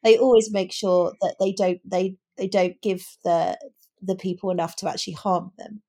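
Woman discussing books, with a creaky edge. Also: mid-range voice, steady tone, moderate pace, warm tone. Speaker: podcast co-host. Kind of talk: conversational book discussion.

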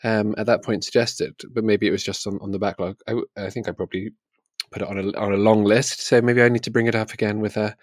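Male, lowish pitch, casual bookish podcast chat, hesitant, self-correcting, dry close sound. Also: steady tone, fast talker, no echo. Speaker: podcast co-host.

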